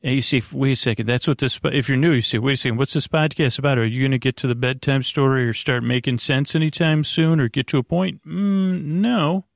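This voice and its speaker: A man with a creaky, gravelly voice, hosting a podcast in a meandering, halting bedtime monologue that keeps interrupting itself. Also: medium pitch, fairly even intonation, no room sound, clean studio sound. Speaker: podcast host. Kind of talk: rambling bedtime monologue to help listeners sleep.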